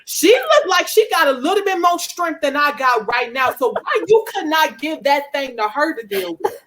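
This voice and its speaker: A woman's voice: sits high, sing-song, normal speed, fairly bright tone, slight background noise. A woman speaking as a guest author in an interview, giving earnest, emphatic motivational testimony and repeating phrases for emphasis.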